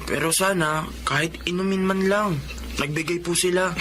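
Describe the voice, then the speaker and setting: A man's voice: high voice, fairly even intonation, moderate pace, bright, forceful tone. A caring but hot-tempered Filipino son, protective of his family. It is radio drama acting with faint noise behind. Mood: concerned.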